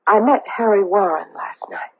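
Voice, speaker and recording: A woman's voice: medium pitch, normal speed, gravelly, husky. An actress playing a middle-aged socialite hiding her past in a radio drama, hesitant, anxious and defensive. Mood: guarded, agitated. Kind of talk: 1970s radio drama acting. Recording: tinny, band-limited old radio broadcast.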